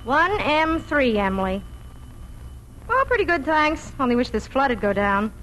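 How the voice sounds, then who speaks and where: A woman's voice: sits high, very animated, moderate pace, fairly bright tone, low-quality recording. An actress playing a practical, anxious farm mother in 1940s radio drama style. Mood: brisk, practical. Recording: 1940s radio with faint noise.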